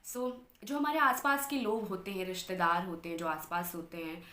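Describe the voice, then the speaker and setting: A woman's voice: on the low side, steady tone, normal speed, bright, forceful tone. Young woman telling her story in an echoey room. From Bihar, India. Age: 20s. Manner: earnest, mixes English phrases into Hindi. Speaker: interview guest, anchor and model. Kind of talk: personal life story in an interview.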